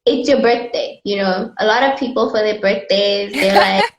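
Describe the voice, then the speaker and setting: A woman's voice: on the high side, sing-song, moderate pace, creaky. A woman hosting a live stream interview over a video call, friendly and hesitant, with many ums. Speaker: host. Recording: roomy, dull video call audio.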